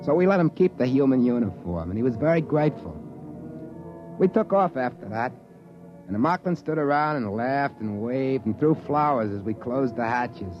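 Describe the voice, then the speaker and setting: Folksy American spaceman narrator played by a male actor, mid-pitched and colloquial, 1950s radio sci-fi, dull old recording with music behind. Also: expressive, moderate pace, natural voice. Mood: wistful storytelling. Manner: folksy, colloquial, plain-spoken. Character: folksy spaceman, secretly an alien passing as human. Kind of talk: 1950s radio drama first-person narration.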